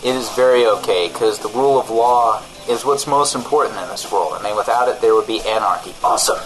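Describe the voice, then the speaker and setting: A man's voice: fairly low pitch, fairly quick, poor audio. A man co-hosting a comedy radio show in character, bantering in an evasive, self-righteous way. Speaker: radio co-host.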